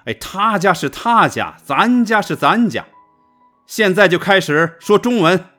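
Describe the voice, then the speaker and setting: A man's voice: high-pitched, expressive, measured pace, pushed and bright, faint music behind, exaggerated voice. A man playing an insistent, instructive father of a young girl in a radio drama. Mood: insistent, exasperated.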